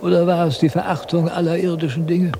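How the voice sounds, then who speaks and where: An older actor playing a vain, grumbling old wandering philosopher in a radio drama, declaiming theatrically and questioning himself. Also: male voice, fairly high pitch, little pitch movement, fast talker, gravelly. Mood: musing. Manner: declamatory, self-questioning, cantankerous. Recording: noisy 1970s radio drama with sound effects.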